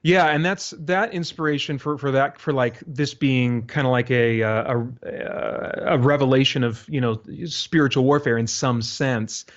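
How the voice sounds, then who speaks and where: A man being interviewed casually as a guest, speaking in a halting way as he thinks aloud. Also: mid-range voice, dull audio. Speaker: interview guest, audio drama creator.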